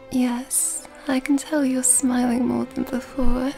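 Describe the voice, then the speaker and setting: Elegant vampire seductress for ASMR roleplay, played by a woman: soft, breathy, mellow and dark, genteel flirt, often whispering, music behind. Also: high-pitched, heavily acted. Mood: flirtatious, charmed. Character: elegant, seductive female vampire at a masquerade ball. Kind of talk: ASMR roleplay, intimate scripted monologue. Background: background music.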